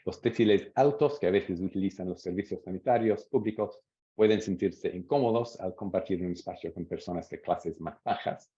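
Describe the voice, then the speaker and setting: A man with a deep, dark-toned voice presents research at a seminar. He speaks in a measured way and reads out interview quotes. The audio is dull and lo-fi. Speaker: academic presenter.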